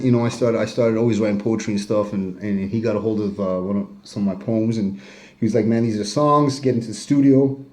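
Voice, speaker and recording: A man's voice: medium pitch, warm tone. A middle-aged man, a rapper talking about himself in a casual, rambling way, full of "you know" and swearing, recorded in his kitchen. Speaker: rapper interviewee. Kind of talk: casual self-recorded interview. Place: home kitchen.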